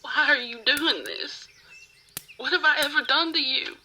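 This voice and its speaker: A woman playing a frightened but defiant captive rival in an ASMR roleplay, halting and stammering as she pleads. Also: sits high, bright, forceful tone, a little hiss or hum, voice pushed up, exaggerated voice. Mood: frightened, bewildered.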